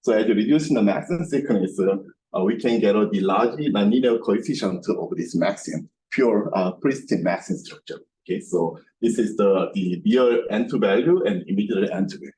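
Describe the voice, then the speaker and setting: A man giving an academic lecture as a researcher at a seminar, speaking haltingly and repetitively with non-native English phrasing. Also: lowish voice, poor audio. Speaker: researcher presenting at a scientific seminar. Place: seminar room with projection screen. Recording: bright room recording with background noise.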